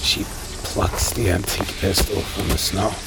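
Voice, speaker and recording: A man's voice: low-pitched, flat delivery, unhurried, vocal fry. A man narrating an audio drama in the first person with terse, tense intensity, over music.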